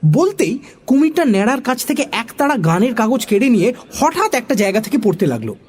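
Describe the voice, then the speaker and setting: A woman narrating a children's story in an expressive, dramatic storytelling style. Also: fairly high pitch, brisk.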